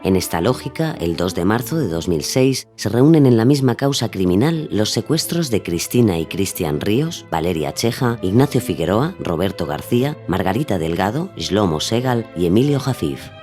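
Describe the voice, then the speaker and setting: A man's voice: fairly low pitch, sing-song, moderate pace, hardly any pauses, a little mellow, soft background music. A man narrating a scripted true-crime documentary.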